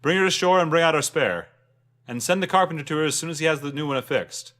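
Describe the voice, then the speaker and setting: Man acting a confident male boat captain, commanding, emphatic, raised pitch, fantasy audio story. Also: fast, a bit bright, lightly acted. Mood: urgent, commanding. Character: commanding, confident riverboat captain who admires his river.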